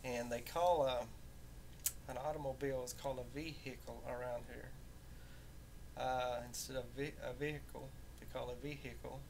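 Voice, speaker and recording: Halting Southern Appalachian man, rumbly recording. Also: fairly even intonation, vocal fry, a little mellow. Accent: Southern Appalachian American, somewhat softened. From rural Southern United States, southern Appalachia. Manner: halting, folksy. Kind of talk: casual unscripted personal talk. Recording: rumbly home recording with background noise.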